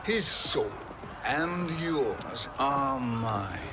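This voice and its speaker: An actor playing a power-hungry, menacing and grandiose sorcerer in a video game cutscene, over loud music. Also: male voice, mid-range voice, animated, extremely slow. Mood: triumphant menace. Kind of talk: theatrical game voice acting.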